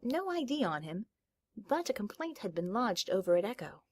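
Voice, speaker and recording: A woman reading a story aloud as scripted audiobook narration, with a slightly husky voice. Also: medium pitch, big pitch swings, moderate pace, no echo.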